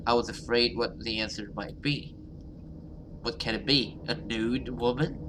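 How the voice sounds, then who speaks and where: A man's voice: medium pitch, background noise. A man doing casual, hesitant let's play commentary and reading a visual novel's story aloud, swearing now and then. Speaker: gaming YouTuber playing a visual novel. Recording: music playing behind the voice. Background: game music.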